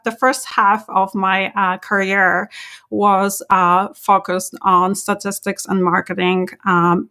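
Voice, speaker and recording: A woman in her forties with a bright, forceful voice, recounting her life as a podcast guest. She tells her story in an animated way, laughing often and filling pauses with uhs. Her pitch sits in the middle for a woman. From Poland. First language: Polish. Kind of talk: casual interview, life story.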